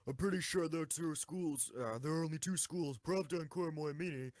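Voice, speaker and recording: A man's voice: on the high side, brisk, darkish tone, no echo, close to an everyday voice. A man playing an eager, devoted schoolgirl tank enthusiast in an amateur audio drama. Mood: nervous, informative.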